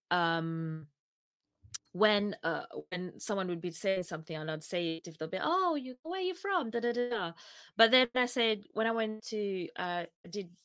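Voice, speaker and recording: Chatty Ugandan-born woman, low voice. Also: fairly bright tone, no room sound. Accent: English with Ugandan traces. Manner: chatty, many restarts, laughs often. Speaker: podcast guest co-host. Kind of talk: casual chat.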